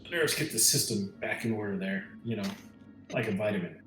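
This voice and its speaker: A man's voice: lowish voice, fairly quick, over music. A man playing a tabletop roleplaying game, talking casually in character in a roomy, echoing recording.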